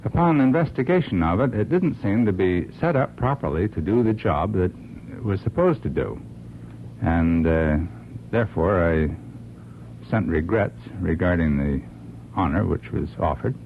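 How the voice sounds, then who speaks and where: An American man giving formal, deliberate remarks as a radio panel guest, in halting phrases. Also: animated, vocal fry. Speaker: panel guest, organization member. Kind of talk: radio interview testimonial. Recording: muffled old radio recording, faint rumbling noise.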